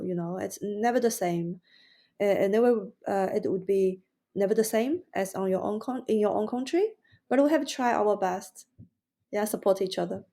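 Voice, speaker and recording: A woman with a Mandarin Chinese accent, a guest in a podcast interview, speaking haltingly with many restarts and fillers. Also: mid-range voice, darkish tone.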